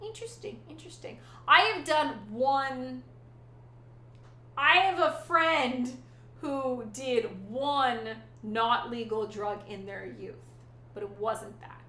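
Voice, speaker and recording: Bright-voiced chatty woman streamer. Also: high-pitched, slow, roomy sound. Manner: rambling, swears casually. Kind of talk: casual chat with live chat.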